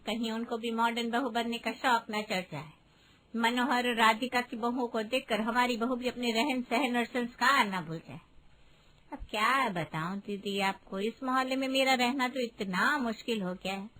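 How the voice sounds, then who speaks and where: A woman's voice: a little high, normal speed, fairly bright tone, natural voice, rough recording. A woman voicing a judgmental, middle-aged gossiping aunt in a Hindi moral-story cartoon, complaining and scandalized. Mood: aggrieved complaining.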